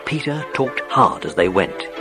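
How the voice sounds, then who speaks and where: A man's voice: medium pitch, big pitch swings, a little slow, music in the background. A man narrating a scripted children's story as the narrator of an audiobook play.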